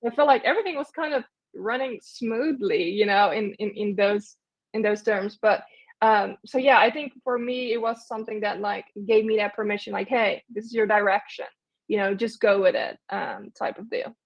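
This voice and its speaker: A young woman talks in a chatty, loose way as an interview guest, full of fillers and quick restarts. Her pitch sits in the middle for a woman. The sound is a little dull and lo-fi, like an online call. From Netherlands. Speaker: guest, agency owner. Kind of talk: casual interview chat.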